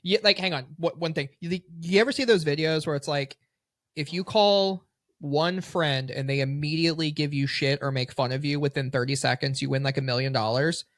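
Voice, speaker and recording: A man's voice: a little high, fairly quick, a bit bright, no echo, studio quality. A man co-hosting a podcast, chatting casually and joking, often repeating his words as he starts. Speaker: podcast co-host. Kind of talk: casual chat.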